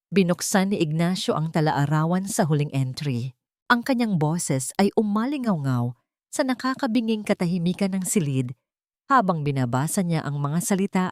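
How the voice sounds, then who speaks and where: A woman with a fairly low voice narrates a dramatic story in a very dry, close recording. Her delivery is emotive and theatrical, with pitch that moves widely. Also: dry recording.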